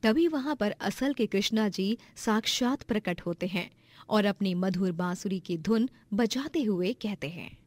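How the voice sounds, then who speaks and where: A woman's voice: mid-range voice, expressive, unhurried, darkish tone, dry recording. A woman narrating a scripted moral story as a storyteller.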